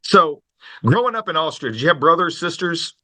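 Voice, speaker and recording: A man hosting a conversational podcast interview in a folksy, polite, animated manner. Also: fairly high pitch, a little fast. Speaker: podcast host.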